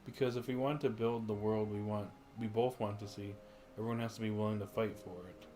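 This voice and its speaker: A man's voice: fairly low pitch, steady tone, brisk, warm tone, a little hiss or hum. A man livestreaming a video game, commenting and reading dialogue lines aloud. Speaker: game streamer. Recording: game music behind the voice.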